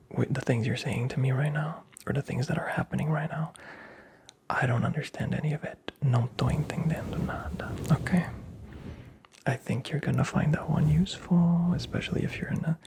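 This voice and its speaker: A man from Brazil, whispering close to the mic as he teaches phrases in a playful, teasing, deadpan way and repeats each one slowly. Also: fairly high pitch, creaky. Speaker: ASMR language teacher. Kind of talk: whispered ASMR language lesson.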